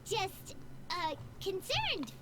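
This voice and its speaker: A woman voicing a tiny, excitable and nervous fairy companion in a video game, with a squeaky childlike voice and fretful manner. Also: extremely slow, heavily acted. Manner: fretful, exclamatory, speaks of herself in third person. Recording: game audio with background noise.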